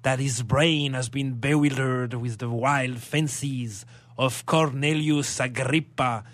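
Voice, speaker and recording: A man playing an earnest, concerned gentleman in a radio drama, with formal, measured theatrical delivery. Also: medium pitch, steady tone, no room sound. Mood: earnest, worried.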